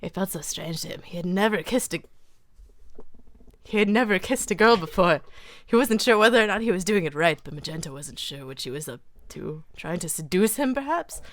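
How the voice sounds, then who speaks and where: A woman's voice: fairly low pitch, expressive, fairly quick. A woman giving a mock-serious dramatic reading of fan fiction, theatrical and stumbling over words now and then.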